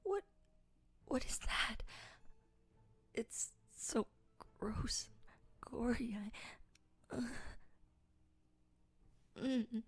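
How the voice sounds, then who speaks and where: A young woman voicing a timid, easily scared girl in an audio roleplay, speaking softly and hesitantly, stammering and often whispering. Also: fairly high pitch, expressive, extremely slow, long pauses, husky, no echo, heavily acted. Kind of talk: audio roleplay addressed to the listener.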